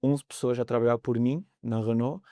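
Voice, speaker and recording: A man's voice: medium pitch, fairly even intonation, moderate pace, mellow, no echo, clean studio sound. A man in his thirties from France with a French accent, a guest on a podcast interview, talking in a hesitant way with many fillers. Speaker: mortgage broker guest.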